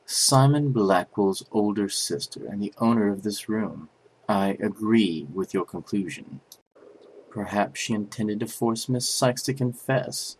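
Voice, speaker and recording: A man playing a stern, formal chief prosecutor in a game fan dub, speaking in a dignified, deliberate way over music. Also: on the low side, animated, a little mellow, slightly rough, full character voice, poor audio. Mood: composed. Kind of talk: scripted anime-game fan dub acting. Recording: music behind the voice.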